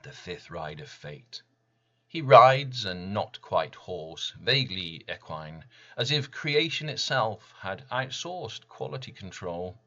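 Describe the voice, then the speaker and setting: Man reading his poem real slow and solemn, forceful edge, bit muffled recording. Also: deep voice, very animated. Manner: deliberate, solemn, broken into short phrases. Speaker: poet reading own work. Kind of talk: dramatic poetry recital.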